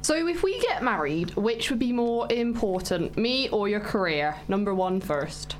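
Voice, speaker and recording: A woman's voice: mid-range voice, big pitch swings, unhurried. A woman voicing a young, choosy princess in a comedy sketch. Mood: bored.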